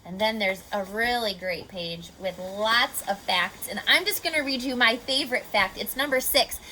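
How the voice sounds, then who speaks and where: A woman with a fairly high, bright voice presents to young children in an expressive read-aloud style. Her intonation is very lively, and she often turns to her listeners with questions. There is faint noise behind her. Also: poor audio. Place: on location at a horse facility.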